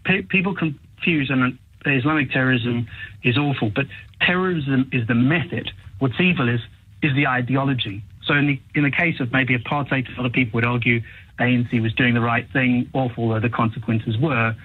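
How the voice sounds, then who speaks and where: A man's voice: mid-range voice, a little fast, breathy edge. South African man calling in by phone. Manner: thoughtful, hesitant, searching for words. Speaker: caller. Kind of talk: phone-in conversation. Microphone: telephone. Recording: tinny phone line.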